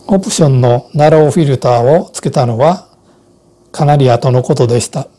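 Older man with a very dark, mellow voice. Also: mid-range voice, moderate pace. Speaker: co-host of an amateur radio Morse code channel. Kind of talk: scripted personal narration and instruction.

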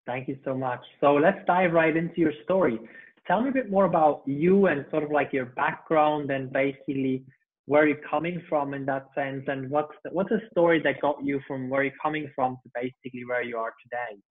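A man's voice: fairly high pitch, brisk. A man hosting an interview show over a video call, enthusiastic, with long rambling questions full of fillers. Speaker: podcast host. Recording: thin phone-like video call audio.

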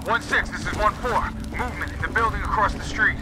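A man's voice: high voice, a little fast, heavy background noise, natural voice. A man playing an embattled marine making urgent radio calls in a military shooter game. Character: embattled male marine calling over squad radio. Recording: loud music and explosions behind.

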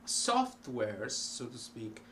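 A middle-aged man with an Italian accent, talking casually to a webcam about learning languages, slow and full of ums and restarts. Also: mid-range voice, wildly swooping pitch, sharp tone, slight background noise. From Italy. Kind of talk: casual talk to camera. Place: cluttered home music room.

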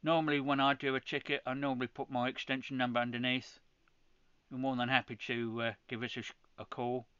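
Bright, clear-voiced man explaining setup. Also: mid-range voice, level tone, brisk, no room sound, highs cut off. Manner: practical, step-by-step, with frequent restarts. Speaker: ham radio channel host. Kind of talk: screen-recorded tutorial.

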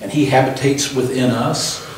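A man's voice: medium pitch, fairly even intonation, moderate pace. An older man delivers an emphatic sermon through a handheld mic, often calling for an amen. The small hall echoes heavily, and the voice is picked up from a distance with faint background hiss. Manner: emphatic preaching with call-and-response amens. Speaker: pastor. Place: small church hall, indoors. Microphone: handheld microphone. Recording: echoey room from a distant camera.

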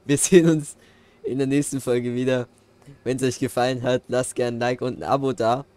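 A man's voice: medium pitch, normal speed, clean tone. Guy hosting a farm game lets play, laid back and slangy, talking with his buddies. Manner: relaxed, slangy, signs off the episode. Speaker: let's play host. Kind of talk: casual gaming chat with friends.

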